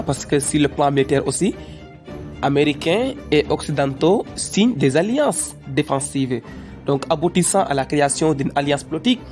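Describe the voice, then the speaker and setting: An eager, deferential student character in an educational cartoon , voiced by an amateur actor, explaining a lesson haltingly. The pitch sits in a middle range, with faint music underneath. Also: a little mellow, close to an everyday voice. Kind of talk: educational cartoon dialogue, lecture-like recitation.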